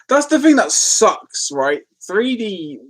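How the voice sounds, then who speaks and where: A man hosting a casual live stream, rambling as he replies to comments and easily amused. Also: high voice. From London, England.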